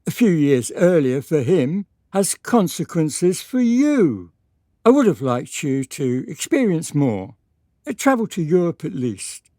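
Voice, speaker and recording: A woman playing a stern, evasive lord and father in a period radio drama, speaking in a measured, formal, patronizing way. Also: low-pitched, big pitch swings, warm tone, no room sound, clean studio sound. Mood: evasive, regretful.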